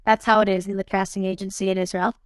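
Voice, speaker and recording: A woman's voice: medium pitch, level tone, quick pace, clear, resonant tone, no room sound. A young adult woman, an actress being interviewed, talking casually and animatedly, often saying "you know what I mean". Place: studio podcast set.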